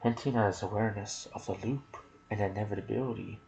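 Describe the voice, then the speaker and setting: A man narrating game lore in ASMR style, soft and breathy, often slipping toward a whisper, musing aloud in casual asides. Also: fairly low pitch, fairly even intonation, quick pace, noisy background. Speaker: gaming video narrator.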